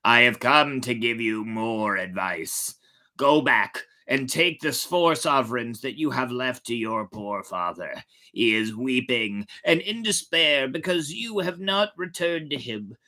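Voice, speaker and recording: A woman voicing the ghost of a small talking cricket, a grave, fatherly adviser with a low, hushed voice. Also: lively intonation, unhurried, exaggerated voice. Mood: grave, urging. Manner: grave, admonishing.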